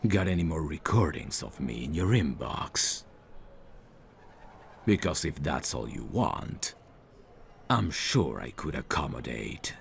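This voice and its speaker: A man acting a teasing, menacing, flirtatious mafia boss in an ASMR roleplay, with a smug, gravelly, intimate drawl. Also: extremely low pitch, big pitch swings, takes it slowly, long pauses, slight background noise, heavily acted. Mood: playful flirting.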